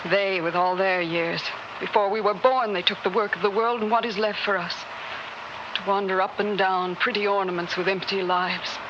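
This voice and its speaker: A woman's voice: medium pitch, fairly even intonation, moderate pace, close to an everyday voice. A woman playing a zealous young rebel of a future world, speaking with urgent, declamatory intensity in an old radio drama. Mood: bitter, persuasive. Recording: dull, noisy old radio drama recording.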